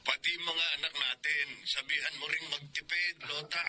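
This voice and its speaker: A man's voice: fairly high pitch, steady tone, normal speed, bright, forceful tone. A man playing a thrifty, stern but caring father in a Filipino radio drama. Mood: firm, advising.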